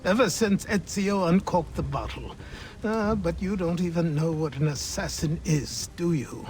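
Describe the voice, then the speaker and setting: An actor playing a gruff, weary old mentor, a cynical and sardonic retired assassin in a video game cutscene. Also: male voice, on the high side, measured pace, slight background noise, lightly acted. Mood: lecturing, sardonic. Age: older.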